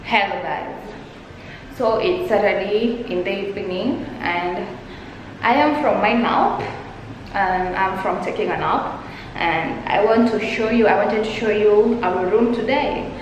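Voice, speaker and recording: Young woman, casual travel vlog, rambling and chatty, camera at arm's length, steady background noise. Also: medium pitch, measured pace, roomy sound, in a large hall. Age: young adult. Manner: chatty, loose and rambling, restarts phrases. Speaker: travel vlogger. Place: hotel rooms, a car and outdoors. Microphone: handheld camera at arm's length.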